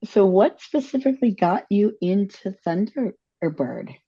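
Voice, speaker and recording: A woman's voice: mid-range voice, animated, a little slow, warm tone, breathy edge, highs cut off. A woman co-hosting a casual interview, chatty with rambling asides. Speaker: co-host.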